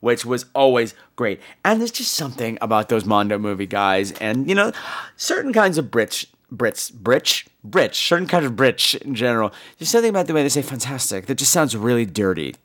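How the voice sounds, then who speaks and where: A hoarse American man with laryngitis, chatting in a campy, animated, comic way as a podcast host. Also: medium pitch, normal speed. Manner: campy, animated, foul-mouthed. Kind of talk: casual comic podcast chat.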